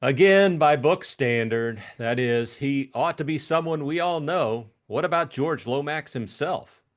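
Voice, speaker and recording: A man voicing a light-hearted young gentleman adventurer in an audiobook, breezy and chummy with a jaunty manner. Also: fairly high pitch, a little slow, voice pushed up, natural voice. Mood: teasing, speculative.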